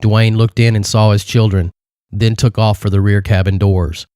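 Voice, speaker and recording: A man's voice: lowish voice, steady tone, normal speed, dark tone, clean tone, no echo, clean studio sound. A man narrating a dramatic adventure story as a scripted audiobook reading.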